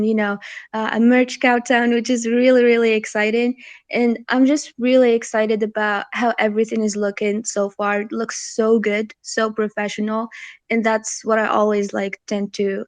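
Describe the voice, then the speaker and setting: A nineteen-year-old woman, a guest creator giving an informal, polite and earnest pitch for a project. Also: level tone, normal speed, no room sound.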